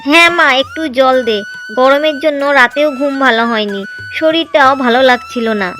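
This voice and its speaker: A woman voicing an elderly village aunt-in-law in a cartoon story, soft, tired and affectionate. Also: high voice, moderate pace, soft background music. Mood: weary.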